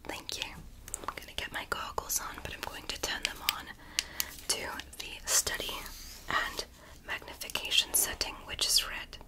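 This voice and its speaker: A woman whispering in ASMR roleplay as a curious, gentle alien doctor examining a human, speaking slowly and clinically with a breathy whisper up close to the mic. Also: lightly acted, rough recording. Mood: soothing curious. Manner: slow, soft, clinical and fascinated. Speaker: ASMR creator. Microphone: close sensitive microphone.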